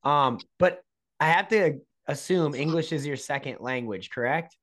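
A man's voice: fairly high pitch, normal speed, fairly bright tone. A man hosting an interview, leading into his questions with long chatty asides. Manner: chatty, rambling lead-ins to questions. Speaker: podcast host.

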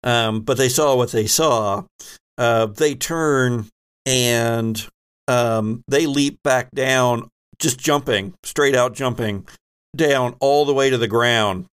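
Male game master, mid-range voice, slow pacing, dramatic scene-setting, tabletop role-playing narration. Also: medium pitch, clear, resonant tone.

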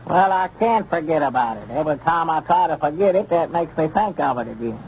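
An actor playing a fretful, quarrelsome old country storekeeper in a 1930s radio comedy, in a whiny drawl. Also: male voice, fairly high pitch, animated, fairly quick, darkish tone. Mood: grumbling. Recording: muffled 1930s radio recording with faint hiss.

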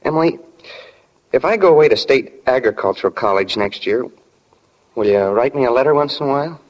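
An actor playing an earnest, bashful small-town teenage boy in love, halting and sincere, in a 1940s radio drama. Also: medium pitch, animated, lo-fi sound, lightly acted. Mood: eager, bashful.

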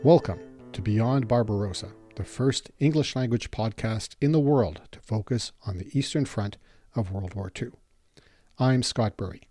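A man hosting a history podcast, reading a measured intro then asking questions. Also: fairly low pitch, dark tone, dry recording, soft background music. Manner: measured, reads intro then brief acknowledgements. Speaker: podcast host. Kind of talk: scripted intro then interview.

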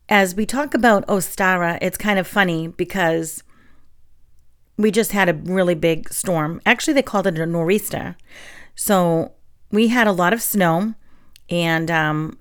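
Chatty young woman with a sore throat. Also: on the low side. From New England. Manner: chatty and friendly, with fillers and soft laughs. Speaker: podcast host. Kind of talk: casual solo podcast talk and reading.

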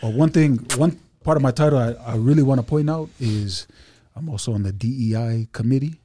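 A man talking as a podcast guest in a relaxed, chatty way, with lots of fillers and asides. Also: mid-range voice, fairly quick, slight background noise. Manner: laid-back, chatty, many fillers and asides. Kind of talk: casual podcast chat.

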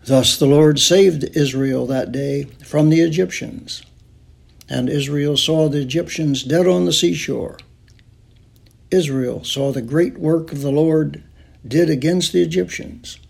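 Male scripture reader, slow and solemn, with a husky, creaky voice. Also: on the high side, darkish tone.

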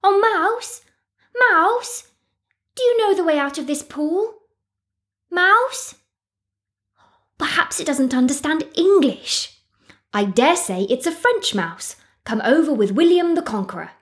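A woman's voice: high voice, big pitch swings, slow, long pauses, full character voice. A woman voicing a polite, curious young girl who muses in a prim, well-mannered way. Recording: echoey reverberant room.

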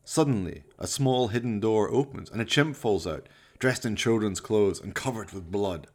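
A man reading scripted narration for a horror radio play in a theatrical, deliberate storytelling manner. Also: lowish voice, darkish tone.

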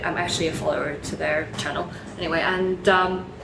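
A woman with a blend of American, Chinese and British accents speaks in a casual discussion. Her voice is slightly low and she often rephrases as she goes. The room echoes, with clear noise in the background. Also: fairly even intonation, brisk. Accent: mixed American, Chinese and British. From China.

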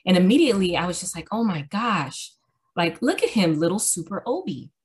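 Relaxed woman with a lower voice. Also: brisk. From Miami, Florida. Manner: relaxed, laughing, hesitant fillers. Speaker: guest author. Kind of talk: casual interview. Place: home room.

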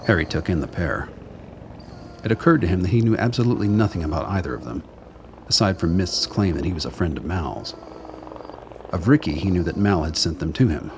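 A man's voice: low-pitched, expressive, a little fast, darkish tone, a little hiss or hum. A man narrating a scripted radio drama in a dramatic storytelling style. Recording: background ambience under narration.

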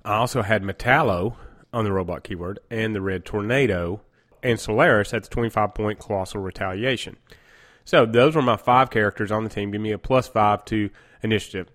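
A man's voice: on the low side, brisk, a bit bright. Stuffy-nosed man hosting a podcast. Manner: chatty, rambling, frequent self-corrections. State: stuffy nose from a cold. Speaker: podcast host. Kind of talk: casual gaming podcast monologue.

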